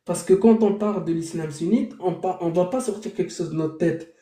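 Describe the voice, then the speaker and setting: A man's voice: sits high, brisk, mellow, roomy sound. A man hosting a heated live debate, arguing his point with rhetorical questions that he answers himself. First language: Arabic. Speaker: debate host.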